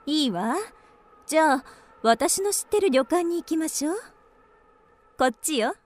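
Coquettish young geisha, high-voiced. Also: female voice, lively intonation, measured pace. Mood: coaxing.

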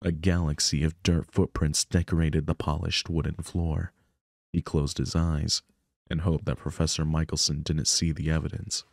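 A man's voice: a bass voice, creaky, no echo, studio quality. A man narrating a visual novel aloud as scripted story reading. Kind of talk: scripted narration with offhand asides.

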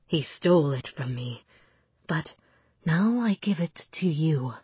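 A woman voicing a devout, sorrowful nun in a video game, reading letters to her son in a soft, tender, solemn, pleading voice. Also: deep voice, animated, slow, no echo, clean studio sound, exaggerated voice. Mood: wistful, solemn. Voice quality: breathy, soft. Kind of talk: letters read aloud in game cutscene.

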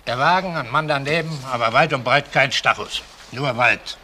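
Bright-voiced retired policeman. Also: mid-range voice, moderate pace, slight background noise. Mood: precise, recounting. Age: older. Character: retired male police officer, shrewd and precise. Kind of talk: German crime radio play acting.

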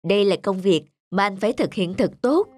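A woman's voice: mid-range voice, expressive, brisk, no room sound, studio quality, lightly acted. Woman voicing an earnest young cartoon husband, music behind. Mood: hopeful. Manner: earnest, reflective. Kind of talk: cartoon dubbing.

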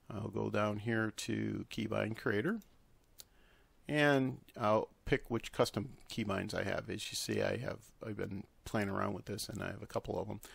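Low-voiced man with a gravelly creak. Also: fairly bright tone, dry recording. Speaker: software tutorial presenter. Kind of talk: unscripted screen-recorded tutorial.

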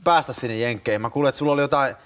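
A man's voice: mid-range voice, fairly bright tone, a little hiss or hum. A man in his thirties interviews casually as a talk show host, conversational and chiming in with short prompts. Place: TV studio with small audience.

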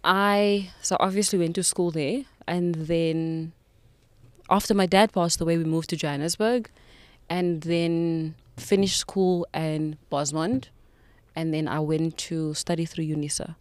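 A woman in her thirties with a South African accent, a guest telling her story in a podcast studio, lively at times and quiet when serious. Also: low-pitched, unhurried, no room sound. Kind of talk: casual interview conversation. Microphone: boom mic on desk.

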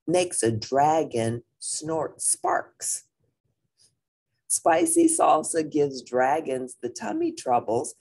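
Expressive, low-voiced woman reading to children. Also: husky. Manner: animated, deliberate, addressing young listeners. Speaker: storyteller reading a picture book aloud. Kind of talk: expressive children's read-aloud with brief asides.

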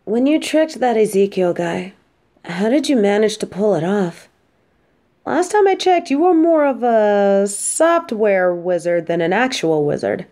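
A woman's voice: mid-range voice, sing-song, unhurried, dark tone. A woman playing an affectionate, teasing supervillain girlfriend in an intimate roleplay audio drama, speaking tenderly and playfully. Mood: curious.

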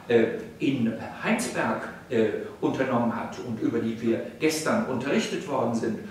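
Older professor in an echoey room. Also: male voice, mid-range voice, slight background noise. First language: German. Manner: formal, rhetorical, with frequent hesitations. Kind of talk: freely spoken video lecture. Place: indoor salon room, seated on a sofa. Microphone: camera at a distance. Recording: very echoey room, distant mic.

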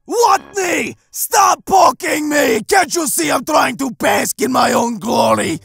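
A man voicing an enraged, pompous male dragon in a comic dub, with a gravelly, strained voice and drawn-out threats. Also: very high-pitched, fairly bright tone, shouting, soft background music, pitched above the performer's own voice, heavily acted. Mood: indignant. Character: enraged pompous male dragon head of three-headed monster.

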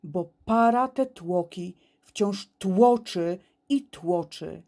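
A woman giving an expressive, theatrical recitation of children's poetry, with deliberate phrasing and dramatic pauses. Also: fairly low pitch. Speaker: poem reader.

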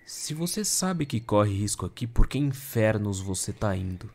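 A man's voice: lowish voice, sing-song, normal speed, a little mellow. A Brazilian man acting in an audio drama as a dutiful, wary police soldier with a formal, cautious manner. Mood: firm, worried.

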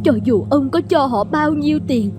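A woman's voice: high-pitched, moderate pace, music in the background, lightly acted. A woman voicing an anxious, hard-pressing wealthy wife and mother in a cartoon fairy tale, fretful and insistent.

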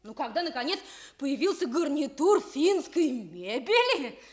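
An actress playing a gullible, theatrically lovelorn jilted woman, telling her woes with dramatic pauses in a radio comedy play. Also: sits high, big pitch swings. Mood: wistful, dramatic. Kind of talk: stage comedy acting for radio.

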